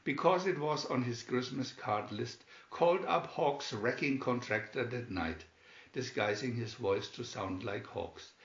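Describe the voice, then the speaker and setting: Male storybook narrator, slow pace, dramatic read-aloud delivery, roomy echo, muffled sound. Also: mid-range voice. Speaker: storybook reader. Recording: dull, echoey room recording.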